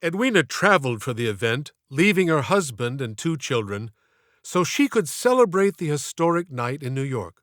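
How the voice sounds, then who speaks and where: A man reading scripted audiobook narration in a measured, literary, expressive manner. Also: fairly high pitch, no room sound, studio quality. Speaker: author narrating own audiobook.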